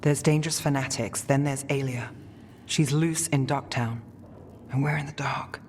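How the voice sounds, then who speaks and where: A man's voice: medium pitch, fairly even intonation, moderate pace, in a large hall, slight background noise, close to an everyday voice. An actor playing a wry, world-weary male templar investigator in a fantasy game, dry and terse. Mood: wary, dry. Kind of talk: game dialogue acting.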